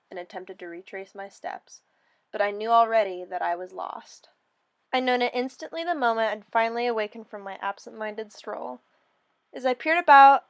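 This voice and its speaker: A woman giving a theatrical, expressive dramatic reading of a first-person fairy story, as a storyteller. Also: medium pitch.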